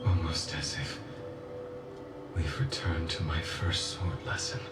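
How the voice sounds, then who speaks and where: An echoey, distant voice of a tormented undying swordsman, low and grave. He speaks slowly and deliberately, like a bitter man haunted for ages, in a dramatic game monologue over loud music. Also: animated, full character voice. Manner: slow, grave, deliberate delivery.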